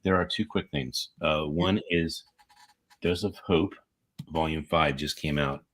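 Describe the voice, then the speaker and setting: Very deep husky American man. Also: steady tone, measured pace, fairly bright tone. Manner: rambling, jokey, self-correcting. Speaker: podcast co-host. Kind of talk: casual chat.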